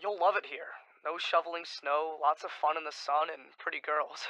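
A pushy practical big brother in a radio play, highish bright voice, very dry sound. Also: male voice, fairly even intonation, normal speed, no echo, poor audio. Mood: persuasive. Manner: frank, urging. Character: pragmatic, persuasive older brother, young man. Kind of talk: radio drama dialogue.

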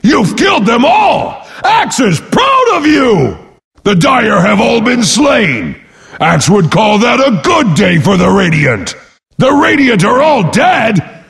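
A brash warrior brute announcing a video game battle. He bellows pompously at a raised, high pitch, drawing his words out slowly. Every line rings in a long, booming reverb. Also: wildly swooping pitch, creaky, a bit bright, raised voice, heavily acted. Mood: proud, loud. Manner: bellowing, pompous, speaks of himself in third person. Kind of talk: bombastic game announcer lines.

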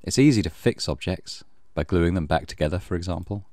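A man reading a horror visual novel aloud as dramatic, measured narration. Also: low-pitched, a little mellow, no echo, clean studio sound. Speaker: gaming channel host reading visual novel. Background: music.

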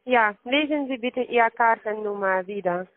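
A woman's voice: fairly high pitch, normal speed. A woman call-centre agent with a foreign accent, speaking non-native German on a phone call, hesitantly checking and repeating details. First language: not German. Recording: dull band-limited phone line.

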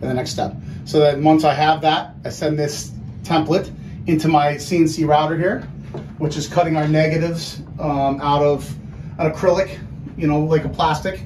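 A man's voice: on the high side, steady tone, normal speed. A plain-spoken man explaining things informally as he gives a casual walking tour of a woodworking mill. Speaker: mill worker giving a tour. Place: large woodworking mill and lumber warehouse. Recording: noisy workshop with machinery hum.

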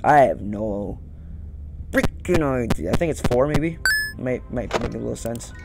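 A man's voice: mid-range voice, expressive, unhurried, vocal fry, over loud music. A young man giving casual game commentary, nervously muttering and repeating his words over and over. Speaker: gaming video host. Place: indoor room at home.

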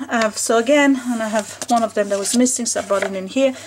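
A woman's voice: on the high side, fast, slight background noise. A woman chatting casually to camera as she shows off a bookcase and installs a towel rack in her basement, thinking aloud with many fillers. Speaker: home organizing vlogger. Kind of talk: casual show-and-tell narration. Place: basement room at home, indoors.

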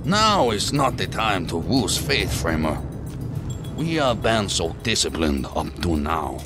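Very deep, solemn rebel squad leader. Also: male voice, very animated, over music, full character voice. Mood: grave, rallying. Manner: measured, commanding, preacherly. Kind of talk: audio drama acting.

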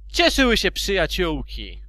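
A man's voice: high voice, huge pitch swings, unhurried, sharp tone. A man narrating a storybook in an amateur fan dub, theatrically and with loose asides.

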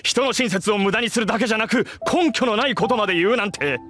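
A man's voice: high-pitched, fast talker, bright, forceful tone, soft background music. An actor playing a two-faced young male bandit who poses as a merchant in an anime game, with a slick, theatrical manner. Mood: indignant. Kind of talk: anime game character acting.

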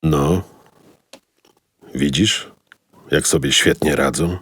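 Deep, smug man in charge. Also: takes it slowly, a bit bright, husky, heavily acted. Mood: smug, dismissive. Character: smug, controlling adult man who keeps children captive. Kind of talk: children's audio drama acting and scene announcing.

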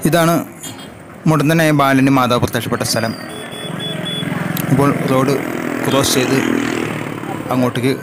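A man with a Malayali accent narrating a travel vlog slowly and in measured, short separated phrases. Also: medium pitch, background noise. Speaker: vlogger. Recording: loud music over the voice. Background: loud music.

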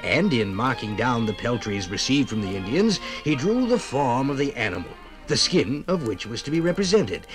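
A man's voice: medium pitch, very animated, moderate pace, few pauses, over music, lightly acted. An actor playing a frontier fur trader reciting his written account with formal diction in a 1950s radio dramatization. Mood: formal recounting.

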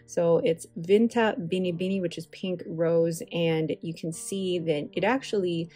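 A woman talks through a casual voice-over with chatty enthusiasm and rambling asides. Her voice sits fairly low. Soft music plays faintly behind her. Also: fairly even intonation, unhurried. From Filipino heritage. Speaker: stationery channel host. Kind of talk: casual voiceover while swatching inks. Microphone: voice-over recorded separately.

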